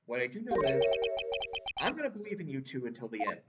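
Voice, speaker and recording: Woman voicing an earnest low-pitched young male attorney. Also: sing-song, fast talker, recorded from a distance, over loud music. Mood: sincere.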